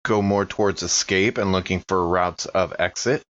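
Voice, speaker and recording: Male tabletop player, very low pitch, casual delivery, dull lo-fi recording. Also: fairly even intonation, normal speed, a bit bright. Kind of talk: casual game chat. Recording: dull lo-fi microphone sound.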